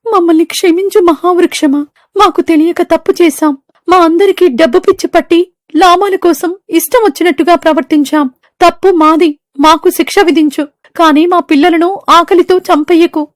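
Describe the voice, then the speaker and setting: A woman puts on a little cartoon bird, earnest and easily awed. The voice is pushed very high and sounds dry and close, with an exclamatory, fable-style delivery. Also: steady tone, moderate pace, no room sound. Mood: pleading. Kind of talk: animated moral story dialogue.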